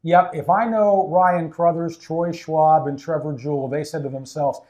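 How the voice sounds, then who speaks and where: A man's voice: fairly high pitch, fairly even intonation, normal speed, a little mellow, muffled recording. A man hosting a casual sports interview in a coach's office at an ice rink, upbeat and chatty with fillers. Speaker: team broadcaster and host.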